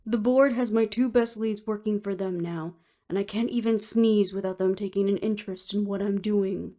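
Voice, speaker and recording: A woman's voice: mid-range voice, steady tone, normal speed, dark tone, lightly acted. A woman acting in an audio roleplay as an earnest, weary official who speaks formally and seriously. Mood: urgent, worried.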